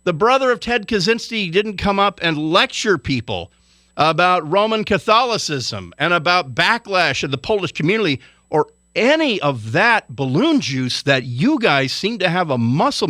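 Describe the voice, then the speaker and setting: A man's voice: high-pitched, big pitch swings, moderate pace, pushed and bright, no room sound, studio quality. A man from Hawaii delivering an indignant, lecturing radio commentary rant.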